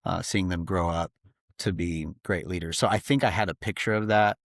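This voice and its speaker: A man's voice: low-pitched, fairly even intonation, moderate pace, dry recording, clean studio sound. A man, a podcast guest talking earnestly in his home office, with many fillers. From grew up in Slovakia. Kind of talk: casual interview talk.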